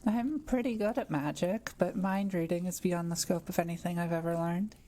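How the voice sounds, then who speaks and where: A woman playing a kind, shy forest witch in an intimate audio roleplay, speaking gently and a little hesitantly to the listener. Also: fairly low pitch, normal speed, natural voice. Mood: curious, coaxing. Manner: gentle, hesitant, softly teasing.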